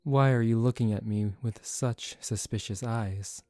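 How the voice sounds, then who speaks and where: A man playing a composed young aristocratic vampire in a soft-spoken ASMR roleplay, calm, formal and gently commanding, protective yet secretive. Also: on the low side, a little slow, dry recording, soft background music, close to an everyday voice. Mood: guarded, calm.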